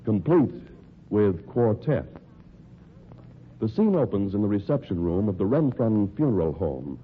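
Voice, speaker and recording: A man announcing and narrating an old radio drama in a theatrical, measured scripted style. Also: lowish voice, very animated, slight background noise. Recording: tinny old radio recording.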